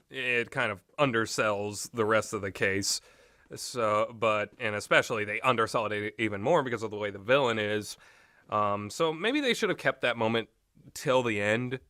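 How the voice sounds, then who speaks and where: A man's voice: medium pitch, sharp tone, no room sound, clean studio sound. A man giving a rambling, unscripted and casual video game review, readily amused as he talks. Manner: rambling, often breaks into amused laughter.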